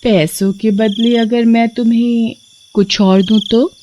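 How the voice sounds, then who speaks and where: Woman doing a witch, high and coaxing, cruel underneath, storybook horror. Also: fairly even intonation, measured pace, dark tone, dull audio, a little hiss or hum, lightly acted. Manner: coaxing, then menacing. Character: seductive female witch, cruel and bloodthirsty.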